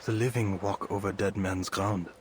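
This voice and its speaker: A man plays a brooding, fatalistic soldier in a war game. His deep voice is grave and measured, almost poetic, over clearly audible ambient noise. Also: steady tone, natural voice. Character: solemn, brooding soldier speaking in fatalistic pronouncements.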